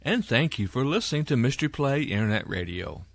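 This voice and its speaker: A man's voice: mid-range voice, very animated, brisk, dry recording. A man presenting a podcast with scripted announcements.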